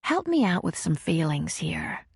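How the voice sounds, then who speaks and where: A woman voicing a calm, patient counselor who asks gentle, probing questions. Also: deep voice, unhurried, gravelly, breathy edge, no echo, studio quality, heavily acted.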